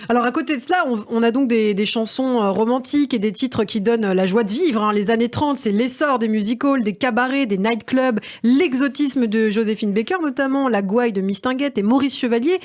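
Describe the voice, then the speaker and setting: A woman hosting a radio history segment, reading her links in a measured way and reacting briefly to her guest. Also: fairly high pitch, darkish tone. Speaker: radio host. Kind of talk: radio interview.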